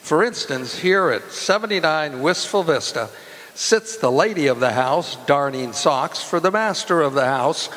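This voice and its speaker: A man announcing in old-time radio style, reading scripted narration and sponsor copy in a formal pitchman manner. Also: expressive, a little slow, poor audio. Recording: loud music under the voice. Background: loud music.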